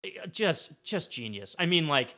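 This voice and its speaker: A man chatting casually as a podcast guest, effusive and animated, stumbling over his words with enthusiasm. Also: on the high side, a little slow.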